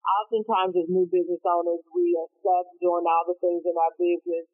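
A woman's voice: fairly low pitch, flat delivery, fairly quick, breathy edge. A woman from Dallas, Texas, a marketing consultant, answering interview questions in an eager, explanatory way. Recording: tinny phone-like line.

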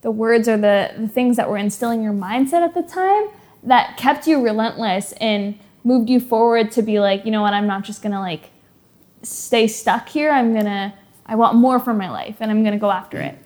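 A woman's voice: on the high side, moderate pace, darkish tone. A young woman hosting a podcast, asking effusive questions full of "like" fillers. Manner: effusive, upbeat, frequent "like" fillers. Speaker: podcast host. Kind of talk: interview questions.

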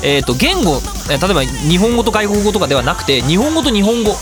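A man hosting a radio show in a casual solo monologue, ranting in an animated way with theatrical emphasis. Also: high-pitched, quick pace, music in the background.

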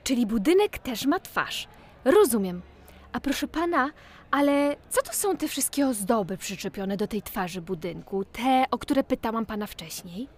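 Woman playing a curious little girl, high and animated. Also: moderate pace. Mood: wondering. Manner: wondering, eager, inquisitive. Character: curious, enthusiastic little girl exploring with brother. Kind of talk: children's audio play acting.

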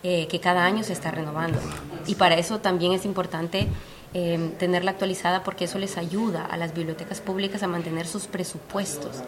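A woman's voice: fairly low pitch, steady tone, brisk, background noise. A woman from Honduras, a publisher, explaining her work earnestly and steadily in an interview at a book fair. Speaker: publisher, guest. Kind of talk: conversational interview. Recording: busy fair crowd behind. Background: crowd chatter.

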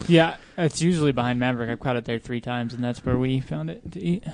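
A man's voice: medium pitch, fast, gravelly, a little mellow, a little hiss or hum. A young man hosting a podcast, chatting casually and trading crude jokes with friends. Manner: joking, laid-back banter. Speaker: podcast host. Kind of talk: casual chat.

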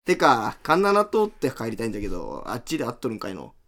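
Man acting brash, lively Hiroshima-dialect comedian. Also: mid-range voice, fast talker. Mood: chatty, cocky. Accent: Hiroshima-area Japanese dialect. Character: brash, chatty young comedian from western Japan, friendly. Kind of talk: amateur comedic radio drama.

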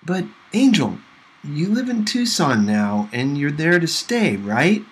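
A man reads the part of an earnest teenage girl in a storybook. The voice is lifted and lively, tentative and probing, turning a little defensive as it insists. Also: on the high side, slow pace, breathy edge, voice pushed up, exaggerated voice. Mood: insistent.

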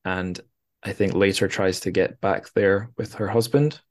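A man's voice: sits low, fairly even intonation, a little slow. A man hosting a casual book conversation, speaking informally and piecing his thoughts together as he goes. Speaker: podcast host. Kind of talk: casual conversational literary discussion.

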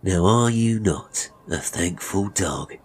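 A man's voice: low voice, lively intonation, slow, husky, heavily acted. A man voicing a menacing, mocking murderous barber in a Victorian melodrama story. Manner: mocking, threatening, chuckling, oily when polite. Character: menacing, sneering murderous male barber, gloating villain.

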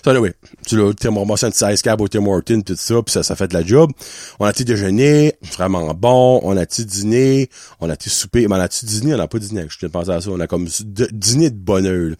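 A man in his thirties with a Québécois French accent, hosting a podcast and telling a story in a casual, animated way, laughing often and slipping English words into his talk. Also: on the low side, a little fast, no room sound, studio quality. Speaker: podcast host.

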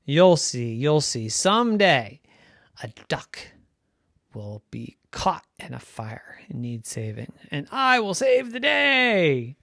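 A woman voicing an earnest, plucky young male duck hero in a children's story, excitable and insistent. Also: a bass voice, slow, no echo, heavily acted. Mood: determined.

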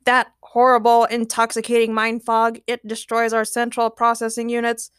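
Woman voicing a distressed high-pitched android soldier. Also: completely flat delivery, smooth, no echo, clean studio sound, natural voice. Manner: drawn-out, distressed shouting. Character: robot android in agony, pleading, plural hive soldiers.

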